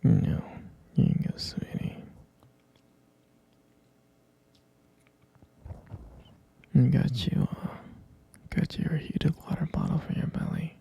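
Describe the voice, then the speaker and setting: A man playing a tender, devoted boyfriend in an intimate ASMR roleplay, speaking in a breathy, husky whisper and lingering dotingly over his words. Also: fairly high pitch, lively intonation, normal speed, warm tone, heavily acted. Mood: tender, caring.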